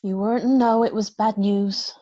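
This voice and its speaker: A woman's voice: medium pitch, steady tone, normal speed, warm tone, dry recording, lo-fi sound, lightly acted. A woman reading a kindly farmer's wife and mother in an amateur screenplay read-through. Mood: consoling.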